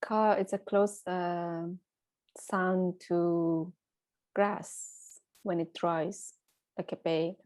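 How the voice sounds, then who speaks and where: A woman's voice: lowish voice, steady tone, extremely slow, darkish tone. A woman with a Persian accent hosting a conversational interview, hesitant with many fillers.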